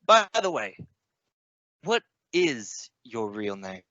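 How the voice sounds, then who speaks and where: An actor playing a middle-aged father, genial on the surface and shrewdly probing, measured in an old-time radio suspense drama. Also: mid-range voice, big pitch swings, bright, forceful tone, no room sound, exaggerated voice. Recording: dull, lo-fi audio.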